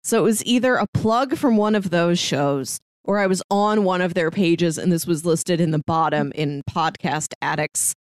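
A woman's voice: on the low side, normal speed, few pauses, dry recording, clean studio sound. A woman co-hosting a podcast, giving a casual, conversational review and recap. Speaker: podcast co-host.

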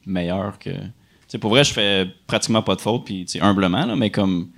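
A man with a Quebec French accent and a lowish voice. He chats in a loose, informal way as a podcast guest, dropping English slang into his sentences. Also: brisk, vocal fry, fairly bright tone. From Quebec, Canada. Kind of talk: casual chat.

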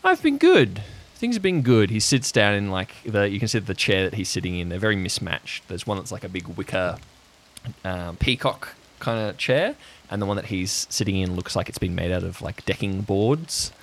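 Low-pitched man narrating as game master. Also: fairly even intonation, fairly bright tone, slight background noise. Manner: halting, descriptive, addresses players as "you". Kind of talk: improvised descriptive storytelling.

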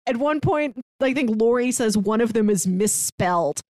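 A woman co-hosting a podcast, chatting casually and excitedly about a TV show, laughing often. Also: fairly high pitch, moderate pace, dry recording, clean studio sound. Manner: animated, laughs often, acts out quotes. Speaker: podcast co-host. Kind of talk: casual chat reviewing a TV show.

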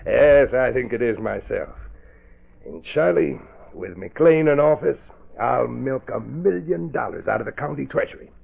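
Through a tinny old radio broadcast comes a gloating crooked boss, his voice husky and gravelly. He swings his pitch widely as he boasts and schemes. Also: lively intonation, unhurried, full character voice. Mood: gloating, scheming. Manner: smug, boastful. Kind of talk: old-time radio drama acting.

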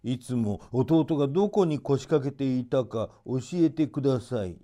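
Man acting as old wizard, storybook villain, slow, coaxing, falsely kind, mellow, widely varied pitch. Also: expressive, lightly acted. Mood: feigned grief. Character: tall old African sorcerer, falsely kind and scheming.

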